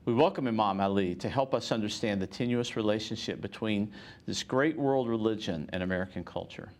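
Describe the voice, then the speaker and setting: Polite low-voiced male TV host. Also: a little mellow. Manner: polite, measured, uses fillers. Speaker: TV show host, professor. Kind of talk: scripted intro, then interview. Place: TV studio.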